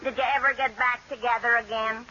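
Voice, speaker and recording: A woman's voice: on the high side, steady tone, a little fast, natural voice. A woman playing a skeptical, wisecracking, no-nonsense housemaid in an old radio sitcom, with a dry manner. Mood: sardonic. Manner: dry, sardonic. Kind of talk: 1940s radio sitcom acting. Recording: very noisy, muffled old radio recording.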